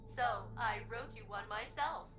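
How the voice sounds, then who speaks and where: A woman's voice: a little high, unhurried, close to an everyday voice. An actress playing an eager, needy female ship computer in a video game, with a perky and formal manner. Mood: chirpy, needy. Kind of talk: animated video game acting. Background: music.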